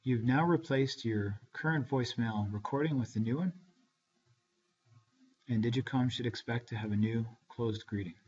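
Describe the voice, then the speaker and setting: A man gives measured, step-by-step software instructions as a tutorial narrator. His voice sits at an average male pitch. The recording is a slightly muffled, lo-fi screencast voice-over. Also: breathy edge.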